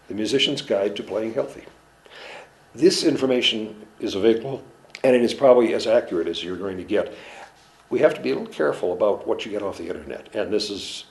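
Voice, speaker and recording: A man who treats musicians and dancers, giving a measured, earnest, halting explanation in an interview. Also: fairly low pitch, darkish tone. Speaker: clinician in performing arts medicine.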